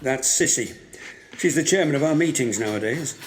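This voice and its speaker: A man's voice: medium pitch, lively intonation, moderate pace, close to an everyday voice. An actor playing a wry, guarded widower in his sixties with dry, curt, formal delivery in an audio drama. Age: 60s. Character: gruff, wry 67-year-old widower, guarded and grieving.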